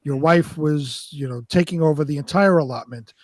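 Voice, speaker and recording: A man with a somewhat high-pitched voice in a dry recording. He speaks casually, posing questions as a panellist on a talk show. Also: moderate pace, dry recording.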